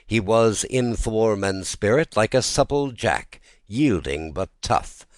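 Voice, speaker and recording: A man narrating a classic literary tale in a theatrical, expressive storytelling manner. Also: lowish voice, slow pace, dry recording, clean studio sound. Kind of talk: dramatic literary audiobook narration.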